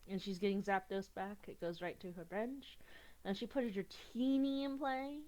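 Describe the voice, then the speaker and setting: A woman's voice: mid-range voice, steady tone, normal speed, darkish tone. A young woman with a cold, sniffling a little as she chats casually through an online card game, giggling and restarting her sentences. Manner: chatty, giggly, restarts often. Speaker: gaming youtuber.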